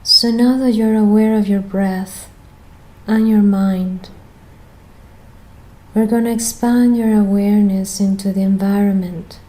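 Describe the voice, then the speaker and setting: A woman leading a guided meditation as the guide, speaking in a gentle, soothing manner with long pauses between phrases. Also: mid-range voice, steady tone, low-quality recording.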